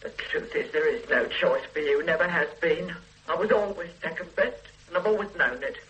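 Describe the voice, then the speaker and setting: An actress playing a bitter invalid wife. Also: female voice, fairly low pitch, moderate pace, fairly bright tone, muffled recording, a little hiss or hum, close to an everyday voice. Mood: cold, bitter. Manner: cold, cutting, controlled. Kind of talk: radio play acting.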